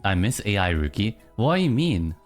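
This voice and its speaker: A streamer in casual live-stream chatter, teasing chat and answering in mock outrage between low, mumbled musings. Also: very animated, normal speed, over loud music. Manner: reacts to chat, teasing, mock-indignant bursts.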